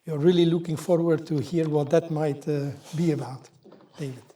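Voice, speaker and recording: Hesitant male session chair, mellow tone, heard from afar. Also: fairly high pitch, steady tone, far from the mic. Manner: hesitant, halting introduction. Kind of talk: introducing the next speaker. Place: conference hall. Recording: voice picked up from afar.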